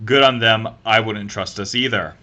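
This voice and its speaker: A man's voice: on the low side, fairly even intonation, bright, forceful tone. A man giving an opinionated, sarcastic political commentary rant. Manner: sarcastic, fast-talking.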